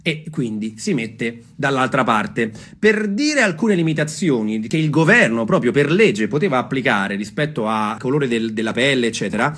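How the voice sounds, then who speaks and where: A man's voice: mid-range voice, expressive, moderate pace, hardly any pauses, fairly bright tone. A man telling a story theatrically and off the cuff, emphatic, repeating phrases and putting questions to his listeners. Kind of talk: theatrical spoken storytelling, semi-improvised.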